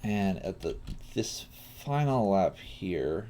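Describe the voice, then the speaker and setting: A man teaching coding in a live tutorial, thinking aloud in a halting way with pauses mid-thought. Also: low-pitched, big pitch swings, mellow. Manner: halting, pausing mid-thought while working. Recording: clear background noise, keyboard typing.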